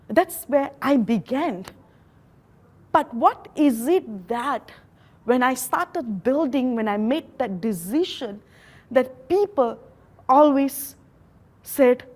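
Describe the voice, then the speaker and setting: A woman from Malaysia, not a native English speaker, giving an earnest motivational talk with slow, emphatic phrases. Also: fairly high pitch, a little mellow. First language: a Malaysian local language.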